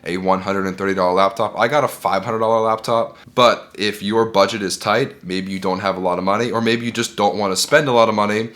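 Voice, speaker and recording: A man's voice: on the low side, fairly even intonation, fairly quick, few pauses, a bit bright. A man who is sick and congested, giving earnest, encouraging business advice straight to camera and repeating his key points. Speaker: bookkeeping business owner and video host.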